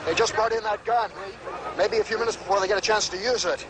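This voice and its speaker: A man's voice: high voice, fairly even intonation, fast. A man reporting on the spot as a war correspondent from a combat zone, in a field recording. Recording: very noisy battlefield field recording.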